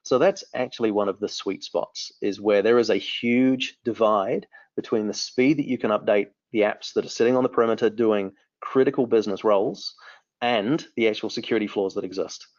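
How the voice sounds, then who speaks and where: A man's voice: on the low side, a little fast, a little mellow, no echo. A man in his forties with a New Zealand accent, answering interview questions with enthusiasm and lots of restarts. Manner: enthusiastic, rambling with frequent restarts. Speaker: company founder. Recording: dry, muffled lo-fi audio.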